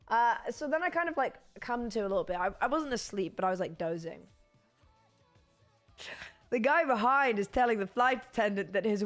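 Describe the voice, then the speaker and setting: A young woman with a British accent chatting casually to her stream viewers while hoarse from losing her voice, rambling and laughing often. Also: mid-range voice.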